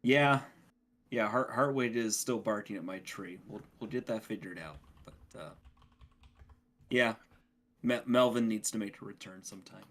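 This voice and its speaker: A man with a fairly low voice talks casually as a podcast co-host. He explains things in a halting way, often doubling back on his words. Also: fairly bright tone. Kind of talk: casual gaming podcast chat.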